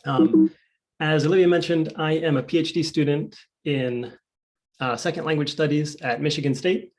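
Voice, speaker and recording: A man's voice: a little high, moderate pace, darkish tone, poor audio. A man who is a PhD student, starting a conference presentation over a video call in a friendly, chatty way with many fillers.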